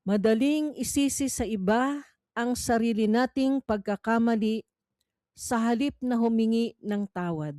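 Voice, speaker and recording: Slow, measured Filipino woman narrator. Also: fairly high pitch, dark tone, no echo, clean studio sound. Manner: measured, deliberate reading with pauses between phrases. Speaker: quiz narrator. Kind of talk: scripted read-along Bible quiz narration.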